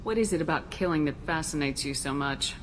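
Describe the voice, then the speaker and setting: A woman's voice: low-pitched, animated, a little fast, a little hiss or hum. A woman playing a tough military commander in a video game, speaking in a blunt, dry, controlled way. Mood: cool, focused. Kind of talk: video game character acting. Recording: loud music under the voice.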